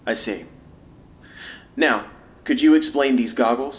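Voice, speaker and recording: An actor playing a cool official investigator who questions staff in a formal, probing, dry manner. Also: male voice, mid-range voice, measured pace, close to an everyday voice. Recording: tinny narrow-band interview recording.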